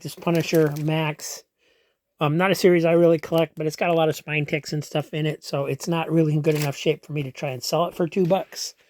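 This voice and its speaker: A hoarse man with a gravelly, fry-laden voice, pitched a touch higher than most men, ambles through a casual tour of his collection. He rambles and restarts a lot, explaining as he goes. The sound is dry and close, with no room echo. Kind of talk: casual walk-through vlog. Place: comic storage room.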